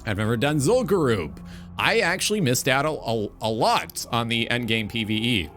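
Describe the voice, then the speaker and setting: A man in his twenties talks as a streamer over background music. His pitch is mid-range and moves up and down a lot as he rambles emphatically. The delivery is casual and unscripted, with the odd curse word. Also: expressive, moderate pace, a bit bright. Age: 20s. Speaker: streamer and talk show host. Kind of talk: casual livestream rant about video games.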